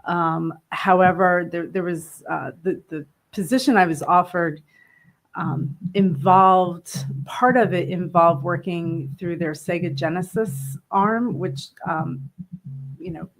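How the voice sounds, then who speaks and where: A woman in her fifties with a somewhat low voice, talking easily as an interview guest. She laughs often and trails off with small fillers as she tells her story. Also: steady tone, slow, rough recording. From northern New Jersey, USA. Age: 50s. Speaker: interview guest, brewery co-owner. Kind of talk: casual interview.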